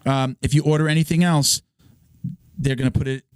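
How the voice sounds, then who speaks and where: A man hosting a podcast in a casual, halting way, reading sponsor spots with a voice he is losing. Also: fairly high pitch, fairly even intonation, clear, resonant tone, dry recording. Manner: halting, restarts his read. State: hoarse, losing his voice. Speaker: podcast host. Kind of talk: casual sponsor read and banter.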